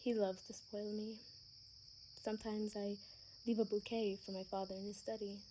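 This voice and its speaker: A woman acting a gentle, sheltered young vampire daughter in an ASMR roleplay, speaking in a soft, earnest, hesitant way. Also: mid-range voice, little pitch movement, moderate pace, close to an everyday voice, poor audio. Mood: soft, friendly. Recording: faint background noise with chirping crickets.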